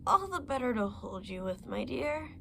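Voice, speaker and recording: A sly, hungry wolf from a read-aloud fairy tale squeaks in a thin, put-on old-lady voice to fool his prey, as a woman puts him on. The voice sits high and strained, with a cunning edge under the false sweetness. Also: sing-song, moderate pace, vocal fry, a bit bright, slight background noise, exaggerated voice. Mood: falsetto mimicry. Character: sly hungry male wolf, faking a granny voice.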